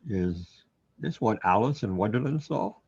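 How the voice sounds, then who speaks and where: An actor playing a troubled, bewildered man in a surreal sci-fi radio drama, hesitant and halting as he muses aloud. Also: fairly low pitch, expressive, darkish tone, husky, lo-fi sound, heavily acted. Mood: awed, overwhelmed. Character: troubled, bewildered man overwhelmed by cosmic reality.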